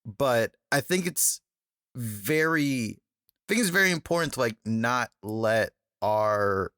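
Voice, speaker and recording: A man's voice: mid-range voice, animated, slow, fairly bright tone, no echo, clean studio sound. A man from Atlanta hosting a podcast interview, talking in an easygoing way with many fillers and easy laughs. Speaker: podcast host. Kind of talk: casual interview chat.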